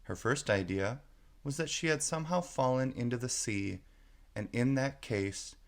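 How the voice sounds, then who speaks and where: A man reading a children's story aloud as narrator, in a measured, expressive way. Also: medium pitch.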